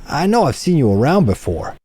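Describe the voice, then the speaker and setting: A man's voice: a little high, big pitch swings, brisk, mellow, slightly rough, dry recording, voice pushed up. A man voicing a pushy, nosy fellow on the street in a crime audiobook, insistent and prying. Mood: pushy, inquisitive.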